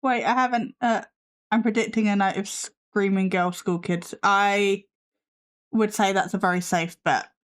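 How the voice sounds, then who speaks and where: A woman's voice: mid-range voice, fairly even intonation, measured pace. A woman hosting a live stream, reacting with sassy, skeptical commentary while losing her voice. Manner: sassy, skeptical, swears casually. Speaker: livestream host reacting to videos.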